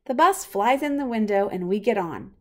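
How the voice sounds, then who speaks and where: A woman's voice: medium pitch, animated, moderate pace, darkish tone. Woman reading a children's storybook aloud. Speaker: reader of a children's picture book read-aloud.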